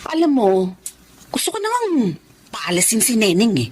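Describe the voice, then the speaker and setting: A woman playing a resentful, well-off wife in a Filipino radio drama, expressive and given to complaint. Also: fairly high pitch, moderate pace, husky, full character voice. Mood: annoyed.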